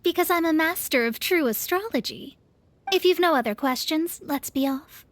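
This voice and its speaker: A woman's voice: high-pitched, animated, moderate pace, a little hiss or hum. A woman voicing a proud young female astrologer in a video game, speaking in a formal, pompous, precise way. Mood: haughty. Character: proud, haughty young female astrologer, self-important master of mystic arts. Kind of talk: video game character acting. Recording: music behind the voice.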